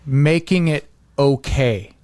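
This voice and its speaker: A man's voice: medium pitch, slow, a little mellow, dry recording. A man talking casually and with opinions, in the manner of a podcast host.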